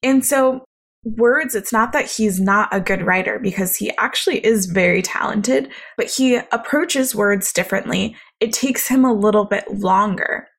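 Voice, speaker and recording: Soft-spoken woman hosting a podcast. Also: mid-range voice, normal speed, gravelly. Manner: reflective, conversational, thoughtful. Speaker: podcast host. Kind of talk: solo podcast monologue.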